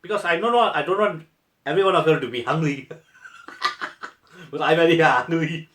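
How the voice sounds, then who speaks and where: A man's voice: on the high side, normal speed, a bit bright. A young man from Malaysia, talking to viewers in a casual taste-test vlog. He sounds playful and exclamatory, and he laughs often. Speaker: food vlogger. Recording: echoey room.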